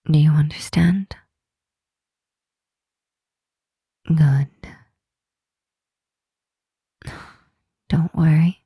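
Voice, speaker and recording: Woman playing protective Demon Queen, ASMR roleplay, very breathy half-whisper, slow unhurried delivery, soothing, gently commanding. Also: low-pitched, no room sound, clean studio sound, full character voice. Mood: firm, protective, then reassuring, firm. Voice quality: soft, breathy, often whispering. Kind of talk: ASMR roleplay, intimate comforting monologue.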